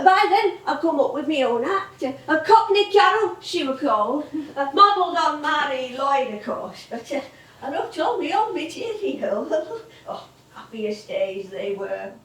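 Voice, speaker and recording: An actress playing a feisty, nostalgic veteran music hall comedienne in a stage play, with a theatrical, performative storytelling manner. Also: female voice, sits high, recorded from a distance, heavily acted. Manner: animated, performative. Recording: roomy live theatre recording.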